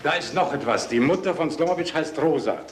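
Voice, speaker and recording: An actor playing a cold, commanding German army major, speaking curtly. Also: male voice, on the high side, animated, a little fast, echoey room, close to an everyday voice. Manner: curt, authoritative. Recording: hissy film soundtrack.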